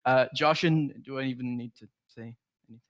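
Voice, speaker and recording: A young team captain rattles off cocky insults at his rivals. He talks fast, and the sound is dry and close. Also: mid-range voice, fairly even intonation, no room sound. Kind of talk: trash talk.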